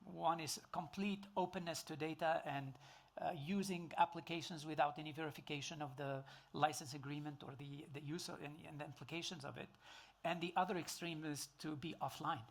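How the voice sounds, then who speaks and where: A man's voice: on the high side, fairly even intonation, a little fast, vocal fry. A middle-aged man with a Tunisian accent, a native Arabic speaker, speaking as a panelist with many fillers and restarts. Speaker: panelist, computer engineer. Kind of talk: panel discussion.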